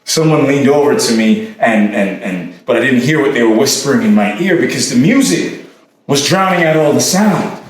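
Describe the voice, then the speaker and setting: An actor playing an anguished man haunted by obsessive love, in an intense, halting theatrical monologue. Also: medium pitch, animated, natural voice. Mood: haunted, hesitant. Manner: intense, halting, with repetitions and sudden swells. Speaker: stage actor. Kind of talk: theatrical staged reading, dramatic monologue. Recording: echoey room from far off, faint noise.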